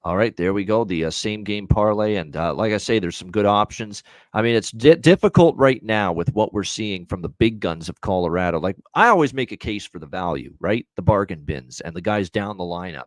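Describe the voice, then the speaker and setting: A man's voice: lowish voice, animated, a little fast, dry recording. A man hosting a sports betting show, chatting casually and rambling through his picks.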